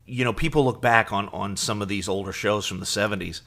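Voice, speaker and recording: A middle-aged man co-hosting a podcast in casual chat, explaining things in a halting way with repeated words. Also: on the low side, gravelly, a bit bright. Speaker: podcast co-host.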